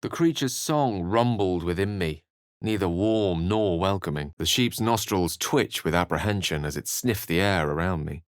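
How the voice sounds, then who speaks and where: An actor playing an eloquent, wry and melancholy wizard in a video game, with articulate, theatrical phrasing. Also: male voice, sits low, lively intonation, moderate pace, smooth, no room sound, clean studio sound. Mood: measured, grave. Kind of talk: video game character dialogue and narration.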